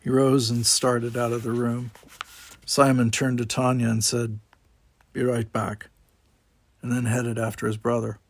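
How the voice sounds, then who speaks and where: A man's voice: mid-range voice, flat delivery, normal speed, creaky, no room sound. A man reading a story aloud as scripted audiobook narration. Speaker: audiobook reader.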